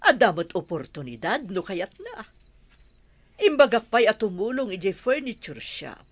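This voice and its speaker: A woman acting a worried, exasperated lady of the house in a radio drama, with an expressive manner. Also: medium pitch, moderate pace, natural voice. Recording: clearly noisy background.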